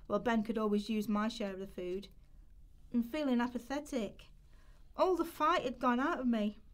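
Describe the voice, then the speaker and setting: Radio play: woman as anxious young woman on benefits, high voice, confessional, worn down. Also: lightly acted. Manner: confessional first-person storytelling. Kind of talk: rehearsed radio play monologue.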